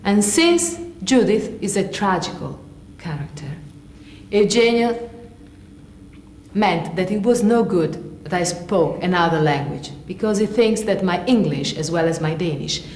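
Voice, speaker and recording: A woman's voice: lowish voice, measured pace, darkish tone. Italian-accented woman in an echoey hall. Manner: plain, explanatory, slightly broken English. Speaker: stage actress. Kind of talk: work demonstration lecture. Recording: echoey hall with faint noise.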